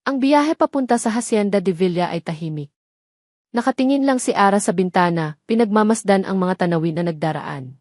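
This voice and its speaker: A woman's voice: mid-range voice, expressive, a little fast, a little mellow, no echo, studio quality. A woman narrating a scripted dramatic story.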